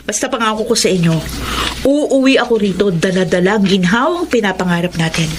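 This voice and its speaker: A woman's voice: medium pitch, lively intonation, brisk, noisy background, close to an everyday voice. A woman playing an earnest, hopeful young student from the province in a Filipino radio drama. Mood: tender, determined.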